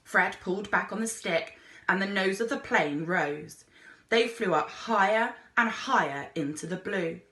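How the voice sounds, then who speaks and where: A woman reading a children's adventure story aloud as an expressive narrator, like a teacher reading to a class. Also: mid-range voice, measured pace. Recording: echoey room.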